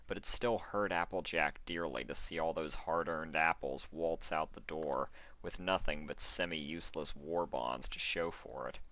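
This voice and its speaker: A man reading fan fiction aloud as expressive, scripted story narration. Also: a bass voice, no echo.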